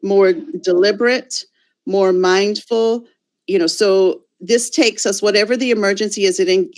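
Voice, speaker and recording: An American woman with a fairly low voice answers a question at a conference. She thinks aloud, with many fillers and restarts. The sound comes over a video call. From United States. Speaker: guest speaker.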